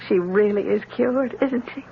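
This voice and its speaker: A woman's voice: mid-range voice, moderate pace, vocal fry, close to an everyday voice. An actress playing a kindly, emotional middle-aged lady in an old-time radio drama, speaking in a genteel, hesitant, effusive way. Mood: anxious, hesitant. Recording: muffled, hissy old radio recording.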